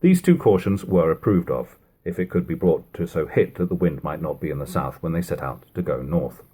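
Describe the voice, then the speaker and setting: Expressive man narrating, lower-pitched and husky. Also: fairly quick. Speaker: amateur audiobook reader. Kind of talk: expressive narration of an old novel. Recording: lo-fi home recording.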